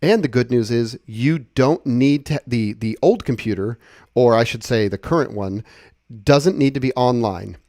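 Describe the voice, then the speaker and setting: A man giving a casual, conversational software walkthrough, explaining things step by step. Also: medium pitch, normal speed, no echo, clean studio sound. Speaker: software tutorial presenter.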